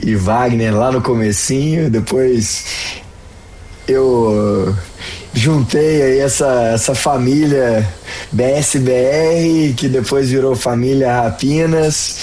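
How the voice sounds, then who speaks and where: Slow, hoarse Brazilian man hosting. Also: medium pitch, darkish tone, slight background noise. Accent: Brazilian Portuguese. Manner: slow, drawn-out delivery. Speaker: podcast host. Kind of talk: casual podcast chat.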